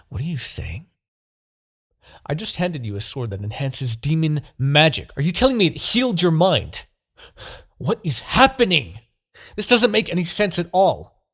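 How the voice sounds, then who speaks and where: An imperious demon king, acted by a man for a fantasy audio roleplay, gravelly and husky. He broods obsessively and is easily exasperated, his pitch sweeping dramatically as he swings between low murmurs and outbursts. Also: exaggerated voice. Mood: bewildered, outraged.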